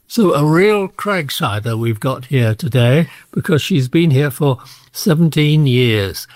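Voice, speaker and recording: A man with a slightly high voice interviews a guest. He hesitates and restarts his questions. The recording is dry and close. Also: lively intonation, measured pace, no room sound. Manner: hesitant, stumbling over questions. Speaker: community radio interviewer.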